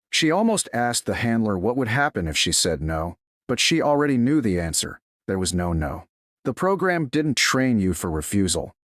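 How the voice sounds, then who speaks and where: A man reading scripted dramatic fiction as a narrator, in a measured, solemn way. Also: fairly low pitch, fairly bright tone, no room sound, studio quality. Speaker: story narrator.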